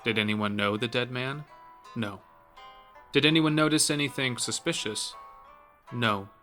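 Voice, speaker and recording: A man's voice: medium pitch, unhurried, sharp tone, faint music behind. A man narrating a scripted horror story as the narrator of an audio drama.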